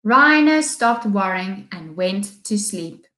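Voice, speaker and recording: Slow-paced young woman reading aloud. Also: mid-range voice, animated, pushed and bright. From South Africa. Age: young adult. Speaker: children's story reader, teacher. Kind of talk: expressive picture-book read-aloud. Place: small indoor classroom-style room. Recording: echoey room.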